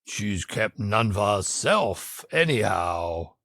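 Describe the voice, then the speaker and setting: A man voicing a gruff, weary storybook lion with a ponderous drawl. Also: lowish voice, very animated, slow pace, fairly bright tone, no echo, studio quality, pitched below the performer's own voice, exaggerated voice. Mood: lazy, gruff.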